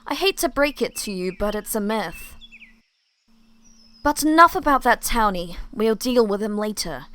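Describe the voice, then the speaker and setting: A woman's voice: medium pitch, expressive, a little slow, fairly bright tone. A woman playing a suspicious, secretive supernatural ally, serious and matter-of-fact, in amateur roleplay acting.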